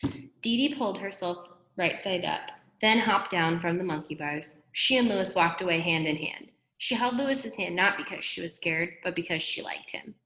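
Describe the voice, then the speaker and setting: Low-voiced woman reading in an echoey room. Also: normal speed. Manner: animated, acts out dialogue. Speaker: read-aloud storyteller. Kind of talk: expressive children's story read-aloud.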